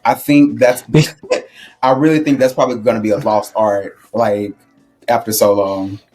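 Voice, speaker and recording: A man co-hosting a casual chat show, colloquial and animated as he gives opinions and tells stories. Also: lowish voice, moderate pace, faint music behind. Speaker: podcast co-host.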